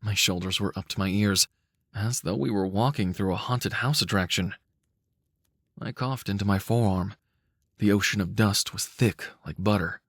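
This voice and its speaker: A man giving a measured, cinematic, dramatic narration of a dark short story. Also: on the low side, no echo, studio quality. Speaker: audiobook-style story narrator.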